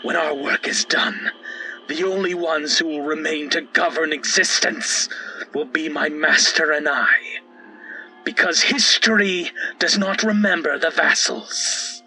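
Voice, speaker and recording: Slow, grandiose mad titan, played by a man. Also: on the high side, music in the background, poor audio. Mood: proud, sinister. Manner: grandiose, deliberate monologuing. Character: megalomaniac male mad titan plotting to remake existence. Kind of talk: audio drama villain acting.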